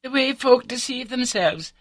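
A man's voice: very high voice, animated, a little slow, no echo, pitched above the performer's own voice, heavily acted. A man voicing a prim, scatterbrained elderly Scottish wife in an audiobook, prattling on in an unruffled, matter-of-fact way with a Scottish accent. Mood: placid, confiding. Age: older.

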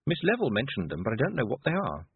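A man voicing a stubborn, plain-spoken young girl witch in a storybook reading, blunt and quietly critical. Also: mid-range voice, wildly swooping pitch, quick pace, breathy edge, dry recording, voice pushed up, exaggerated voice. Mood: puzzled, doubtful. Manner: blunt, muttering. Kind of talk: audiobook character voice. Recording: muffled narrow-band phone-like sound.

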